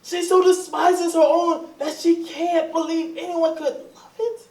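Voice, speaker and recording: A woman's voice: very high-pitched, flat delivery, a little slow, warm tone. A woman playing an earnest, bossy, lovelorn husband in a staged reading with Southern dialect. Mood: anguished. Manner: urgent, pleading, commanding. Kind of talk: staged readers theatre, Southern period dialect. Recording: echoey, distant stage recording.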